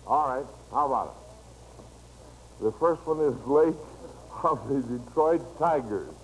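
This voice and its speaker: A man's voice: medium pitch, expressive, takes it slowly. A man with an American accent hosting an old-time radio quiz show, folksy and chatting to the listeners as friends. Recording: noisy vintage radio broadcast recording.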